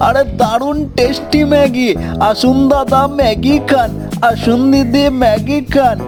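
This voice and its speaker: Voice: high-pitched, fairly even intonation, unhurried. A performer voicing an eager, sincere young son who sells noodles in a cartoon, speaking emphatically and repeating phrases. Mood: cheerful hawking. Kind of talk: cartoon voice acting with singsong hawking. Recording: music under the voice.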